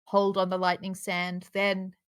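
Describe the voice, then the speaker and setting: A woman's voice: medium pitch, monotone, measured pace, clear, resonant tone. A woman reading stage directions aloud at a live table read, in an informal narrating style. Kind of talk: live script narration with casual asides.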